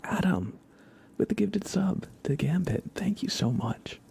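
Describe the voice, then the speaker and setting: A man hosts an ASMR livestream, chatting casually and gently in a hushed whisper, close to the microphone. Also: sits high, sing-song, unhurried, gravelly, breathy edge. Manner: casual, friendly, thanking viewers. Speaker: livestream host. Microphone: close microphone with compression and limiter.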